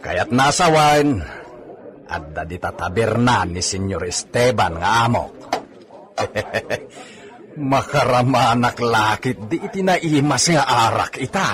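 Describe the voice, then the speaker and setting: A man's voice: medium pitch, lively intonation, slow pace, a crowd in the background. A man playing a cheeky, boastful village horseman with an Ilocano accent in a comedy radio drama, chuckling and talking to himself. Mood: playful.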